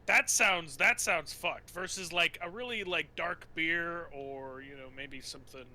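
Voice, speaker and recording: Male tabletop player, high sharp voice, loose and sweary game chat. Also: a little slow, slight background noise. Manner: informal, sweary.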